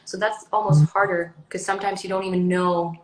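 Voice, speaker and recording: A woman's voice: on the low side, little pitch movement, a little fast. Swedish woman chatting, echoey room. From Sweden. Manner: chatty, rambling, frequent um and like. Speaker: actress guest. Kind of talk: casual interview chat.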